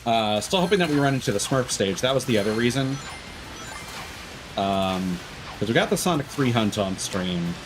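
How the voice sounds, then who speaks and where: A man's voice: mid-range voice, expressive, normal speed, noisy background. A man livestreaming games with casual, rambling, opinionated and sweary commentary. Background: game music.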